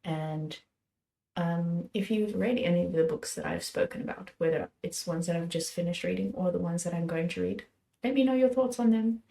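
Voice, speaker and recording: A young woman hosting her book channel, chatting about what she plans to read next in a rambling, self-correcting way, her voice a little hoarse from being sick. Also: fairly low pitch, normal speed, dark tone. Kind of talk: casual chat about books.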